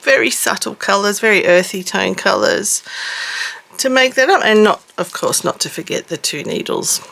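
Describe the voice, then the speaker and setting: A middle-aged woman hosting a casual craft unboxing, her voice rough and husky from a cough, chatty and self-deprecating. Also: mid-range voice, lively intonation, moderate pace, fairly bright tone, poor audio. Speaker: cross-stitch vlogger host.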